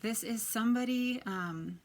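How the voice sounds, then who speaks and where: A woman in her thirties with a quiet, soft voice, chatting casually as she gives a tarot reading. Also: a little high, a little slow. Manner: chatty, lots of ums and likes. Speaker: tarot reader.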